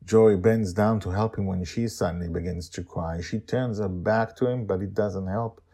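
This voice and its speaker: A man's voice: on the low side, normal speed, dark tone. A man hosting and narrating an audio story podcast in a theatrical, emphatic, dramatic style.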